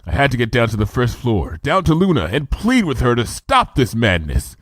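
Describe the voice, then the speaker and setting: A man's voice: medium pitch, wildly swooping pitch, normal speed, heavy background noise. A man reading a comedy adventure story aloud with vivid first-person action narration. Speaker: fanfiction reader.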